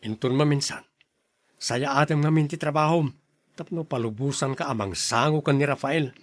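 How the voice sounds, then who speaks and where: A man's voice: a little high, normal speed, no room sound, close to an everyday voice. A man with an Ilocano accent acting a stern, blustery older brother who turns smitten suitor in a radio drama. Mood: bargaining. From Philippines.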